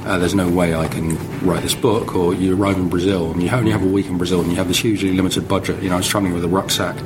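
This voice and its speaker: A man in his forties who grew up in the Netherlands speaks with a low voice. He recounts his experiences as an interviewed author, conversational and thinking aloud. There is clear background noise behind him. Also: flat delivery, racing. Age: 40s. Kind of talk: reflective interview storytelling.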